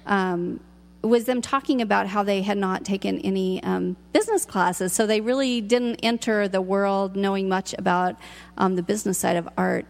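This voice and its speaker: A woman hosting a radio interview, chatty and enthusiastic, with a loud voice that projects. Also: mid-range voice, fairly even intonation, moderate pace, vocal fry. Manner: enthusiastic, chatty, laughs often. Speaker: radio show host.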